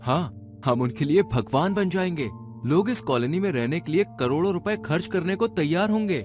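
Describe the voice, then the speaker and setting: A man's voice: on the high side, animated, normal speed, darkish tone, soft background music, natural voice. A man playing an eager, anxious male space scientist in a dramatized adventure story. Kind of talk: dramatized Hindi audio story dialogue.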